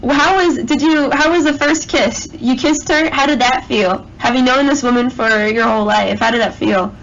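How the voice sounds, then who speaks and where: High-voiced woman on a muffled phone call. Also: normal speed, a bit bright. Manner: prodding, agreeable, echoes answers. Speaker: caller asking questions. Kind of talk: casual private phone questioning. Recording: muffled, noisy leaked phone recording.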